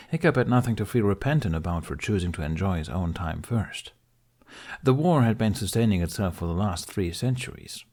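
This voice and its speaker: A man narrates an audiobook story from a script. His voice sits on the low side as he reads the prose. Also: a little mellow.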